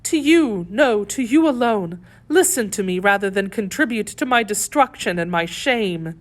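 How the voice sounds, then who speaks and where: A woman's voice: medium pitch, lively intonation, normal speed, pitched above the performer's own voice, close to an everyday voice. A woman playing a captive noblewoman who implores with feigned pious despair in a dramatic audiobook reading. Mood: anguished. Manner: melodramatic, imploring.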